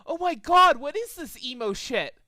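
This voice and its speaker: Man doing a shrill scornful girl pony. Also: expressive, moderate pace, bright, forceful tone, no echo, clean studio sound, heavily acted. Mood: disgusted. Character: scornful, foul-mouthed female pony music snob.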